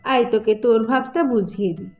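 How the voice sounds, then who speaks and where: A woman's voice: a little high, quick pace, dull audio, soft background music, lightly acted. A woman voicing a sly, bossy girl, the elder sister in a cartoon, with a coaxing, theatrical manner. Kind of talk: cartoon voice acting in a moral tale.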